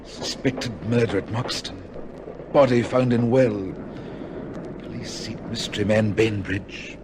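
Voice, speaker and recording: An actor playing a cold, proud older judge with a formal, clipped manner in an old-time radio drama. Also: male voice, on the low side, steady tone, measured pace, natural voice. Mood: brooding. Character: cold, proud senior judge, guilty and rattled. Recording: noisy old radio recording.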